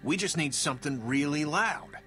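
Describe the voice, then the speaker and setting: Sharp, high, hot-headed survivor. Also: male voice, expressive, moderate pace, soft background music. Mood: agitated. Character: hot-headed, stubborn middle-aged male survivor. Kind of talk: dramatic video game acting.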